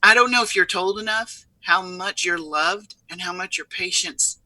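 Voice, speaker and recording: A woman's voice: fairly low pitch, moderate pace, bright, forceful tone, dry recording, low-quality recording. A woman speaking as an expert guest in a conversational live interview, earnest and repeating words for emphasis. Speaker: interview guest, software company CEO.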